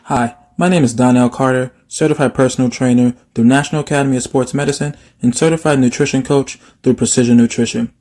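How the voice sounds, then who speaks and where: Male fitness coach with a medium-pitched voice. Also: steady tone, fast talker, few pauses, darkish tone. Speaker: personal trainer and nutrition coach. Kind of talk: promotional ad pitch to camera.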